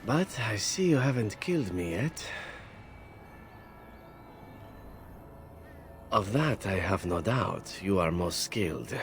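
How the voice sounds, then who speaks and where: A man's voice: low-pitched, exaggerated voice. A man playing a flippant, talkative assassin in a video game, glib and wry, with teasing tag questions. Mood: wry, groggy. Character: roguish, flippant male assassin, cheeky and talkative captive. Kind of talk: video game character acting.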